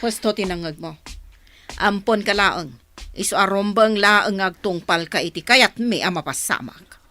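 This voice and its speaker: A woman's voice: mid-range voice, measured pace, sharp tone, no room sound. A woman playing a harsh, domineering mother in a radio drama, scolding and commanding. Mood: bitter. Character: harsh, domineering mother who resents her adopted son. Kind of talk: Ilocano radio drama acting.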